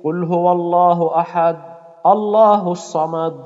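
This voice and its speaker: Slow chanting man in reverberant space. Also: high voice, fairly even intonation, soft background music. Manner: slow chanted intonation, repeating invocations. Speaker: Quran reciter performing ruqya. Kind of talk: melodic Quran recitation and healing prayer. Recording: dull audio with long reverb.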